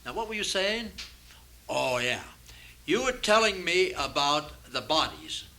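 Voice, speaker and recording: A man's voice: on the high side, very animated, a little slow, pushed and bright, exaggerated voice. A man playing a distracted, rambling middle-aged police chief in a comic radio play. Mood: distracted, musing. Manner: rambling, absent-minded, dramatic. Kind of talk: comic radio theater acting. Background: music.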